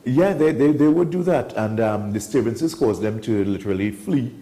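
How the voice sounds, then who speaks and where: A man's voice: mid-range voice, animated, normal speed, warm tone. A Trinidadian man from Trinidad and Tobago, an enthusiastic wildlife guest telling stories in a casual studio chat. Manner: enthusiastic, storytelling.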